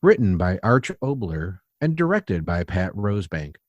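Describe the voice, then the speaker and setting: A man's voice: low voice, big pitch swings, moderate pace, a little mellow, dry recording, dull audio. A man working as announcer, reading formal credits in old-time radio style.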